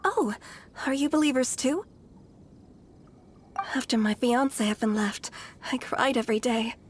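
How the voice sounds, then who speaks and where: A woman's voice: a little high, a little slow, a little hiss or hum, natural voice. An actress playing a devout, lovestruck young woman in a video game, earnest and naively hopeful. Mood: hopeful, fervent. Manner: fervent, earnest. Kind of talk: video game character acting.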